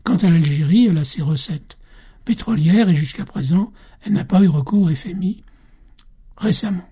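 A man speaking as a radio interview guest, laying out his explanations in a measured, steady manner. His voice is dark and mellow with a husky, slightly breathy texture. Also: sits high. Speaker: guest expert.

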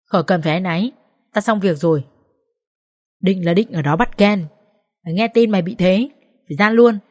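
A woman's voice: mid-range voice, moderate pace, darkish tone, dry recording, studio quality, close to an everyday voice. A woman voicing a blunt, fiercely loyal young woman who scolds coarsely and emphatically in an audio story. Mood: bossy, caring. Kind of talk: dramatised audio story dialogue.

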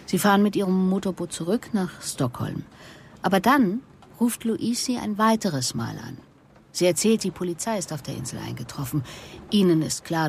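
An actress playing a composed, relentless police investigator in a German radio drama, speaking in a measured, deliberate way. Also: female voice, sits low, vocal fry, darkish tone, slight background noise, full character voice. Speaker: interrogator and narrator. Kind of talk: German radio drama acting with introspective narration.

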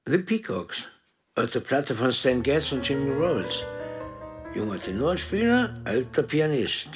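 An actor playing a wry, easygoing old jazz saxophonist in a German radio drama. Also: male voice, mid-range voice, sing-song, a little slow, music in the background. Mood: wry, friendly.

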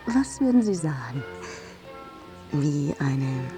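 A woman's voice: deep voice, big pitch swings, takes it slowly, music in the background, heavily acted. An actress playing a romantic, coquettish lady in a theatrical audio drama, with an affected, dramatic manner. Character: romantic, coquettish young lady playing at fairy-tale princess.

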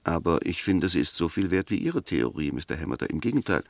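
Male, low voice, dry close sound. a methodical, insistent defender in a radio drama, precise and argumentative. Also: very animated, quick pace, vocal fry, dry recording, full character voice. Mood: measured, explanatory. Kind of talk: German radio play acting.

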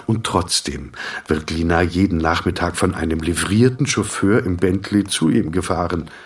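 A man narrating a radio drama in a sober, measured, detached manner, in short sentences. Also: low voice, far from the mic, lightly acted. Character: sober, detached male storyteller of a hospital tale.

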